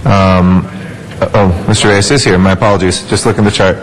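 A man in his thirties chairing a city council meeting from a desk microphone in a large chamber, brisk and procedural with relaxed fillers. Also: low-pitched, poor audio. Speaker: council president.

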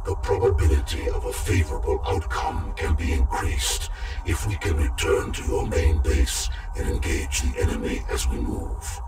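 An actor playing a cold, calculating male computer AI through a robot filter, speaking in a flat, clinical, menacing way. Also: very deep voice, normal speed, loud music behind the voice, exaggerated voice. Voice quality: gravelly, whispery synthetic tone. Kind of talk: game cutscene acting.

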